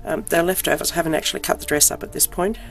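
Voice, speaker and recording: A middle-aged woman with a croaky voice from being unwell, chatting casually about her craft projects with lots of ums. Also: fairly low pitch, racing, faint music behind. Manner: chatty, frequent ums, self-deprecating. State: croaky voice from illness. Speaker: crafting vlogger. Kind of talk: casual show-and-tell.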